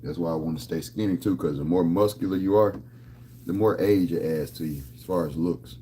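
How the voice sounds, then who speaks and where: A man's voice: sits low, fairly quick, dark tone, a little hiss or hum. A man in his thirties talking casually to camera, boastful and rambling, full of street slang. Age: 30s. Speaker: vlogger.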